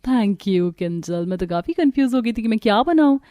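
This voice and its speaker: A woman plays a young cartoon newlywed with a soft mellow voice on the higher side. She sounds sweet, trusting and eager to please. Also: lively intonation, normal speed, natural voice. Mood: concerned.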